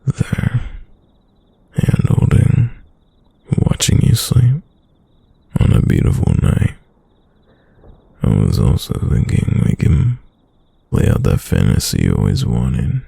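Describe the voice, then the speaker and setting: Devoted boyfriend for late-night roleplay ASMR, acted by a man: extremely deep, heavy vocal fry, tender murmur. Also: wildly swooping pitch, takes it slowly, long pauses, warm tone, no room sound, heavily acted. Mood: teasing affection. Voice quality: deep, gravelly, breathy. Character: devoted soft-spoken boyfriend whispering to his sleeping lover.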